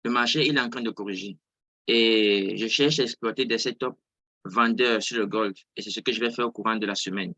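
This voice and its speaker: Male online trading instructor, non-native French, bright projected tone, dry close sound, explanatory, restates key words. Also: fairly low pitch, brisk, dry recording, muffled recording. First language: not French. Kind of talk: informal live online lesson, explaining. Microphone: close mic on an online video call.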